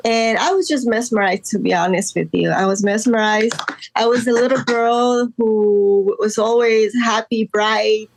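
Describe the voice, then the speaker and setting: A woman from Guatemala, a guest artist, talking casually in an interview with many fillers and soft laughs. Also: on the high side, steady tone, unhurried. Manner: rambling, lots of fillers and laughs.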